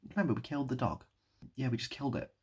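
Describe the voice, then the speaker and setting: A British man improvising a rambling story and chatting as a video host, mock-nostalgic and often repeating his phrases, with a husky, gravelly voice. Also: mid-range voice, expressive, rapid-fire. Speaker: online video creator and host.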